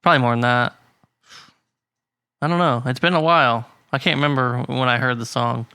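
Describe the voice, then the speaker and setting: A man with a bright, forward voice co-hosts a casual music podcast. He talks bluntly and laughs often. The sound is dry and close to the mic. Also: medium pitch, fairly even intonation, fairly quick, smooth, no room sound. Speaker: podcast co-host. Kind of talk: casual chat.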